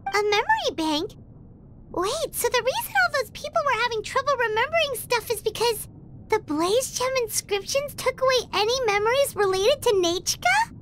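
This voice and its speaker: Tiny, extremely high-pitched squeaky game companion. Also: female voice, expressive, normal speed, a bit bright, slight background noise. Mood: puzzled, surprised. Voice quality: squeaky, childlike. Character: tiny childlike female floating companion, curious and worried. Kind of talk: video game voice acting.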